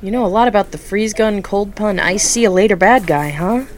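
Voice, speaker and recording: A woman's voice: medium pitch, a little fast, a little hiss or hum, natural voice. An actress playing an eager, friendly, newly promoted young woman coordinator in an audio drama. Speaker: foundation coordinator.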